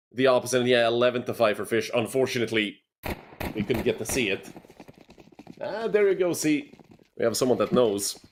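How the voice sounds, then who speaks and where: A young man from Serbia casting an esports match alone, chatting with viewers between plays. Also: medium pitch. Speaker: esports caster. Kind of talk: solo play-by-play commentary.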